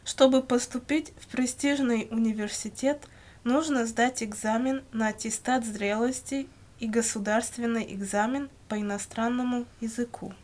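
A woman reading scripted language-course questions and answers in a measured, carefully enunciated way. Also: a little high, fairly even intonation, darkish tone. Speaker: language lesson reader.

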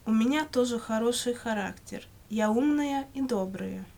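Female, slightly high voice, reciting model answers for language learners in measured full sentences. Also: gravelly, a little mellow. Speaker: language-lesson model speaker answering questions. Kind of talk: scripted language-lesson dialogue, read aloud.

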